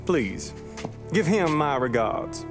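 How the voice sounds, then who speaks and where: Friendly southern hick bootlegger, high voice, slow drawl, game cutscene with music. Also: male voice, very animated. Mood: genial. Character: folksy Kentucky bootlegger, friendly but put-upon. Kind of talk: video game cutscene acting. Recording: background music under the voice.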